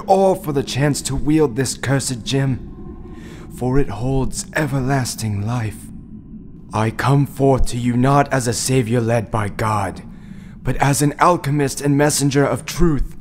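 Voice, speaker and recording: An actor playing a grave, misshapen alchemist in a fairytale audio play, speaking solemnly and portentously. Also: male voice, medium pitch, unhurried, slight background noise, lightly acted. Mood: ominous, solemn. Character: grave misshapen male alchemist, ominous messenger of truth. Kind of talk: fairytale audio drama acting.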